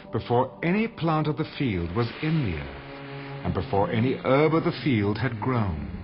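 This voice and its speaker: A man narrating dramatized scripture in a solemn, measured manner. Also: mid-range voice. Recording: dull audio under loud music.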